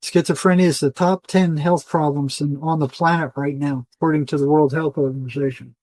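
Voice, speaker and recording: A man's voice: on the high side, fairly even intonation, a little fast, darkish tone, dry recording. An older man talking as an interview guest in an insistent, emphatic way, repeating phrases for stress. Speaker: interview guest, retired psychotherapist. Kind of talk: conversational interview monologue.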